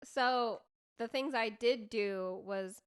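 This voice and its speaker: A young woman chatting casually with friends as a podcast co-host, giggly and animated. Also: fairly high pitch, slow pace, clean tone, dry recording, studio quality. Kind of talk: casual chat among friends.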